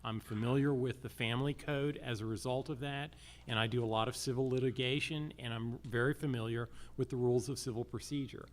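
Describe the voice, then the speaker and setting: An American man with a mid-pitched voice answers as a candidate for judge at a public forum. He speaks deliberately and drives points home by repeating himself emphatically. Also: steady tone. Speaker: judicial candidate.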